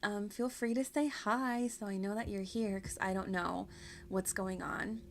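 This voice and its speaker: A woman's voice: medium pitch, fairly even intonation, unhurried, a little hiss or hum. A woman streaming a video game with casual, rambling, self-deprecating and giggly chat. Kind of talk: casual livestream chat over gameplay.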